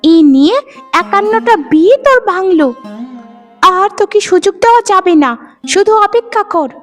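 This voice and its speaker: A woman's voice: extremely high pitch, animated, moderate pace, faint music behind. A woman voicing a spiteful young woman, a sneering younger sister in a cartoon, with a taunting manner. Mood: threatening, angry. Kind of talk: cartoon voice acting.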